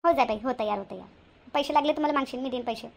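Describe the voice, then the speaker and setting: Woman voicing a high, nagging Vidarbha mother. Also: racing, creaky, noisy background. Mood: homely, coaxing. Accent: Vidarbha Marathi. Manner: scolding, repetitive, homely. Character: bossy, nagging village mother of a grown son. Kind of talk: homemade cartoon voice acting.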